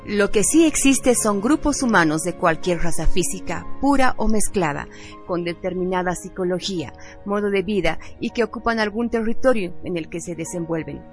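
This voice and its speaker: A woman's voice: on the low side, animated, moderate pace. A woman reading a scripted radio narration in a solemn style. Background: background music.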